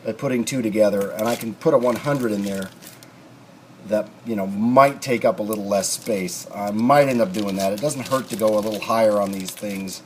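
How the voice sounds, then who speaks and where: A man giving an unscripted, casual workshop tutorial, rambling and thinking aloud in a folksy way as he works. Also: lowish voice. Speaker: hobbyist restorer demonstrating repairs. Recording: clear background noise, home workshop video.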